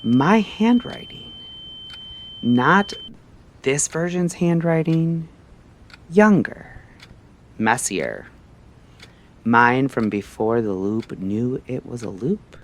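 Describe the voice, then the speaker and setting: A man narrating a scripted story like a late-night radio host, in a measured, theatrical way with short phrases and pauses. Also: medium pitch.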